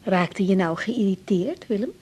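Husky, animated young woman doctor. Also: mid-range voice, unhurried. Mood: curious, chatty. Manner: expressive, questioning, stammers when upset. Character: young woman doctor, harried, emotional, insistent. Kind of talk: 1980s radio drama acting.

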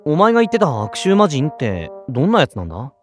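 A woman playing a blunt, down-to-earth boy with a boyish voice and rough, casual retorts, an exasperated straight man in an anime-style audio drama. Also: sits low, wildly swooping pitch, fast, music in the background. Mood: casual, skeptical.

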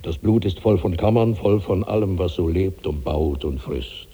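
A man's voice: low-pitched, normal speed, warm tone, slightly rough, lo-fi sound. An actor in a 1960s German radio drama playing a huge, heavy old man tormented by bottomless hunger, in declamatory, incantatory repetition. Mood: heavy, sated. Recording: long hall reverb on old radio recording.

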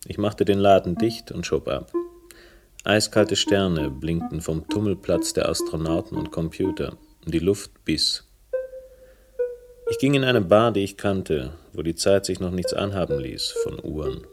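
A man's voice: low-pitched, unhurried, music in the background. A man playing a world-weary, hard-drinking, self-mocking character who narrates and speaks in a noir-like radio drama. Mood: brooding.

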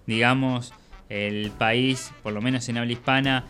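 A man's voice: mid-range voice, fairly even intonation, measured pace, a bit bright, soft background music. A man with an Argentine Spanish accent on a casual radio panel, talking conversationally and checking for agreement. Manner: conversational, seeking agreement with tag questions.

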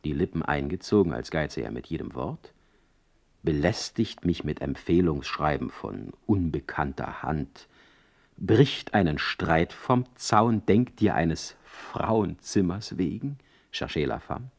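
An actor playing a witty, sardonic, ailing middle-aged poet in a radio play, speaking with eloquent, mocking irony. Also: male voice, very deep voice, animated, slow pace, creaky, full character voice. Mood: wry, resigned. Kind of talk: radio play acting with letter narration.

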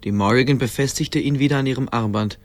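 A man's voice: mid-range voice, quick pace. A man narrating a radio play as a scripted storyteller.

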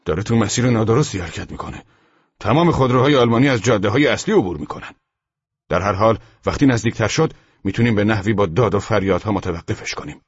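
A man's voice: fairly low pitch, lively intonation, breathy edge, voice pushed up. A man voicing a hot-tempered, commanding army sergeant in a war story, barking urgent orders and warnings. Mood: wary, urgent. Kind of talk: dramatized war story narration. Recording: muffled, echoey room recording.

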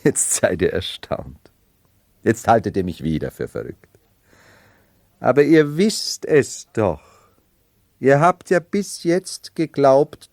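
Radio drama for a brooding, supposedly mad emperor: low male voice, mercurial theatrical delivery, dry close sound. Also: darkish tone, dry recording. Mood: agitated, menacing. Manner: theatrical, mercurial, dwelling on words then bursting out. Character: brooding nobleman feigning madness as a medieval emperor. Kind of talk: 1970s German radio play acting.

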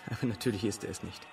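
Male monk, lowish voice, formal, evasive, slightly off-mic, radio drama. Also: vocal fry, distant mic. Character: politely dismissive, busy male temple monk.

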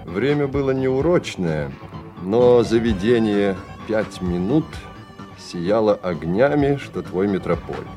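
A man's voice: on the low side, lively intonation, slow, warm tone, soft background music. A man narrating a Russian radio play as a scripted literary story.

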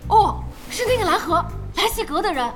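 Bubbly, high-voiced young boss. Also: female voice, brisk. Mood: thoughtful. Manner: expressive, inquisitive, playful. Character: bubbly, curious young woman boss, playfully teasing. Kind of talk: Chinese radio drama acting. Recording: studio voice over faint music.

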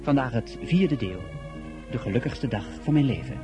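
A man announces a radio drama in a formal, scripted way. His voice sits at a middle pitch, and loud music plays underneath it. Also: lively intonation, normal speed.

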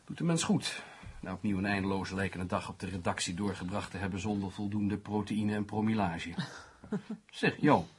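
A man's voice: lowish voice, expressive, moderate pace, a little hiss or hum, lightly acted. An actor in a radio play playing a teasing, hard-drinking newspaperman with a pompous, mock-poetic way of talking. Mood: jovial, needling.

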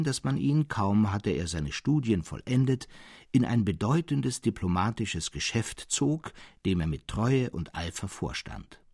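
A man reading literary fiction as narrator, in a measured, dramatic and expressive way. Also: lowish voice, husky, no room sound, clean studio sound. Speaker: narrator, reader.

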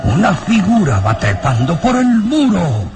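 An actor playing an anxious elderly professor in an old melodramatic radio serial, talking to himself in gasps and exclamations. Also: male voice, high voice, wildly swooping pitch, music in the background, heavily acted. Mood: mounting horror. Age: older. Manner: gasping, halting self-talk with exclamations.

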